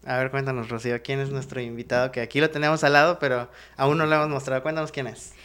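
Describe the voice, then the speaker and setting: A man's voice: medium pitch, little pitch movement, fast talker, fairly bright tone, clean tone. A man co-hosting a student podcast, friendly and upbeat, laughing often as he talks with his guests. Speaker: podcast co-host.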